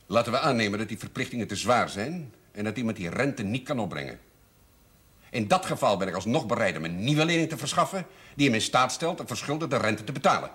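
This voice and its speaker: A man's voice: mid-range voice, lively intonation, brisk, a bit bright, close to an everyday voice. An older man playing a pompous, sardonic, shrewd village notary and moneylender in a television drama, speaking in formal, ironic phrases. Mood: smooth, condescending. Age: older, over 50. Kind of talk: theatrical television drama dialogue.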